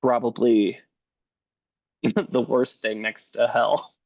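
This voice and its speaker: A hoarse man talks slowly and earnestly, leaning into words of encouragement. His voice comes over a dull, lo-fi video call line that sounds dry and close. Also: medium pitch, little pitch movement, no room sound. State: hoarse from bronchitis. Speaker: podcast guest. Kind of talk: remote interview.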